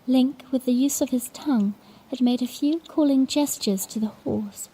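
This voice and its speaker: A woman's voice: high voice, moderate pace, warm tone, breathy edge, low-quality recording. A woman playing a bashful young princess, telling her own story tenderly and earnestly in a fan-made audio drama. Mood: wondering, tender.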